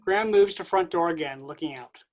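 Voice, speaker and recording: A woman reading stage directions aloud in an even, matter-of-fact way. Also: fairly low pitch, fairly quick.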